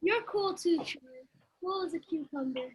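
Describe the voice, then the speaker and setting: A woman's voice: extremely high pitch, animated, normal speed. A woman reading the part of a sardonic but supportive young woman in a sitcom table read. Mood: warm, encouraging. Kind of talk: amateur table read acting.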